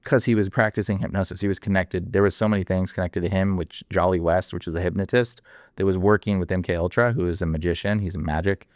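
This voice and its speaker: Low-voiced guy ranting as a podcast guest, lots of "like" and "bro", dry sound. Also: fast, no room sound. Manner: animated, filler-heavy, emphatic. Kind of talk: casual interview chat.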